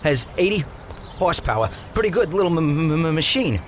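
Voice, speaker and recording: An actor playing an eager, nervous American mob mechanic who stutters heavily, in a game cutscene. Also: male voice, fairly high pitch, big pitch swings, a little fast, a little hiss or hum. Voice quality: heavy stutter.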